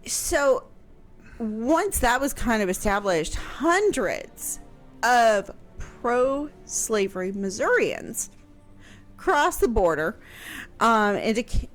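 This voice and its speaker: A woman from Kansas explaining history on a radio talk show, speaking carefully and pausing often on fillers. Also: fairly high pitch, sing-song, soft background music. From Kansas, USA. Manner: slow, careful, halting with fillers.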